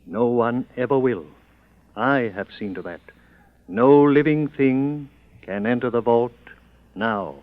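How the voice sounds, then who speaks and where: A man's voice: medium pitch, slow pace, dry recording, close to an everyday voice. Male, man acting: dignified colonial governor, formal, resolute, old radio drama, thin tinny sound. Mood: grave, resolute. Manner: formal, courteous. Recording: tinny old narrow-band radio recording.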